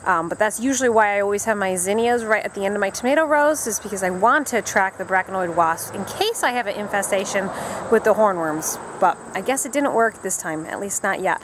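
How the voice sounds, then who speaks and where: A woman's voice: mid-range voice, lively intonation, fairly quick. A woman in her thirties narrating a casual garden vlog, speaking into a handheld camera outdoors. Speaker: vlogger. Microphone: handheld camera at arm's length. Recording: outdoor camera audio with background noise.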